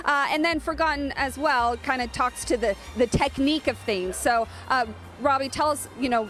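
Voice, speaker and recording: Woman, thirties, high voice, bubbly live field reporter, handheld mic, outdoors, faint music underneath. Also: fairly bright tone. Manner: bubbly, quick and chatty. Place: outdoors at a bakery patio.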